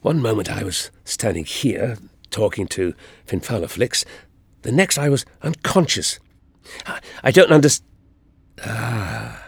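A man's voice: fairly low pitch, very animated, measured pace, vocal fry, pauses often, slightly rough, no echo, heavily acted. A man voicing a clever, whimsical time traveller who muses and stammers, in an audiobook. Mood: dazed musing. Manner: hesitant, stammering, musing.

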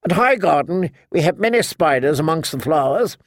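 A man voicing a sharp, imperious old noblewoman in an audiobook, blunt, commanding and mocking. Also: fairly high pitch, animated, moderate pace, no room sound, studio quality, voice pushed up. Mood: wry, confiding. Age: older.

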